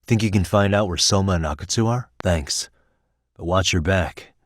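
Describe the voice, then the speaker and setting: Video game private eye, thirties, deep register, level-headed, businesslike and determined, dry studio sound. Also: male voice, normal speed, dry recording. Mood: matter-of-fact, serious. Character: shrewd, level-headed male private detective in his thirties. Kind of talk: English video game voice acting.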